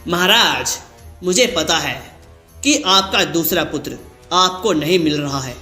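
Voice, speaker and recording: Wise ascetic acted by a man, calm, knowing, slow delivery, echoing room, cartoon. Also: on the high side, soft background music. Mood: calm, revealing.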